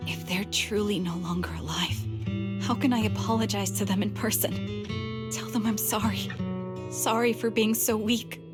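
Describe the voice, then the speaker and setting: An actress playing a young woman soldier haunted by loss, speaking softly and sorrowfully in dramatic video game dialogue. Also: mid-range voice, natural voice. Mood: anguished, guilty. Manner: subdued, sorrowful, earnest. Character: wounded young female soldier haunted by lost squadmates.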